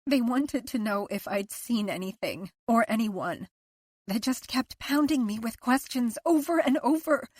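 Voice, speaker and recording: A woman narrator voicing a frightened, guilt-ridden wife in an audiobook, tearful and pleading, her voice climbing toward shouts. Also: on the high side, moderate pace, hardly any pauses, husky, dry recording, voice pushed up. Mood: panicked. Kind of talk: audiobook character voice.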